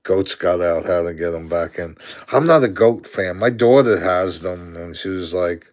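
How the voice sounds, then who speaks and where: A man's voice: low voice, normal speed. A man in his sixties from Brooklyn, New York, with a Brooklyn accent, chatting casually on a live stream, answering viewer comments aloud and chuckling often.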